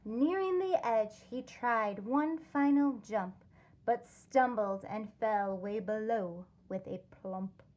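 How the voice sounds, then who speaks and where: Female primary-style reader for rhyming picture book: high-pitched, unhurried, deliberate teacherly delivery. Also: darkish tone. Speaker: teacher reading a storybook aloud. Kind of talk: expressive children's read-aloud of a rhyming story.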